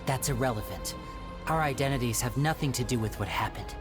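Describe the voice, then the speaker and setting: A man voicing a cool, evasive young stage magician who deflects questions in a composed, smooth way in a game cutscene. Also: medium pitch, brisk, over music, close to an everyday voice.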